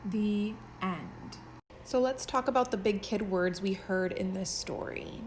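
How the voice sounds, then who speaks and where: A woman's voice: mid-range voice, unhurried, slight background noise. A woman teaching pre-kindergarten children, reading a story aloud expressively and explaining it in simple words.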